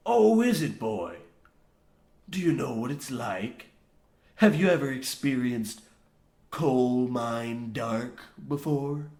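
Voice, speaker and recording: An actor playing a grizzled, half-mad old coal miner, boastful and ominous, rambling theatrically in rustic archaic phrasing in a comic old-time radio horror play. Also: male voice, medium pitch, animated, takes it slowly, a little mellow. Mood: menacing, dramatic. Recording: roomy, echoing sound.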